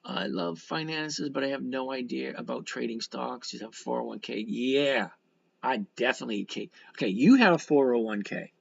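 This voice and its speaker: Gravelly sixty-year-old man, blunt and folksy. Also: medium pitch, expressive, normal speed, lo-fi sound. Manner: folksy, blunt, rambling, mildly profane. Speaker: livestream host and stock trader. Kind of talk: casual livestream chat, reading and answering comments.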